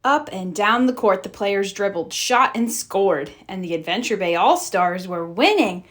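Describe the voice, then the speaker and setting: A woman reading a children's storybook aloud as narrator, in an expressive read-aloud style. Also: mid-range voice, a little slow. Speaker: storybook reader.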